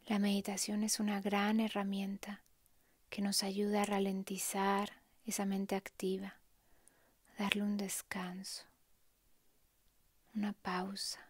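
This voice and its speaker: Woman, meditation guide, soft breathy voice, even intonation, long calm pauses, close dry sound. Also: medium pitch, no echo.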